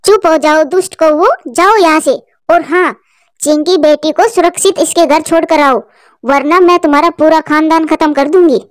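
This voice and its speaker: Cartoon eagle auntie, female performer: stern, scolding authority, high pitch. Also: normal speed, dry recording, studio quality, lightly acted. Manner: commanding, scolding. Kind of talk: Hindi children's cartoon dubbing.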